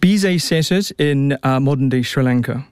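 A man's voice: mid-range voice, normal speed, darkish tone, smooth, no room sound, clean studio sound. A young man with a New Zealand accent, an opera tenor chatting as a radio guest, with lots of restarts and fillers. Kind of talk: relaxed radio interview.

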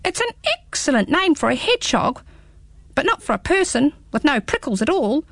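A prim little storybook hedgehog, as a woman puts him on, talking in a high, lively squeak. The voice is chatty and fussy and swoops a lot. It sounds close and dry. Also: moderate pace, gravelly, no room sound, exaggerated voice. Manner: talkative, prim, rambling. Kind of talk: storybook read-aloud.

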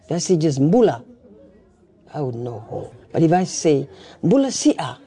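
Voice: medium pitch, sing-song, measured pace, dark tone. A speaker with a Fijian accent explaining things in an interview, emphatic and checking in with short tag questions. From Fiji. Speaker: craft society representative.

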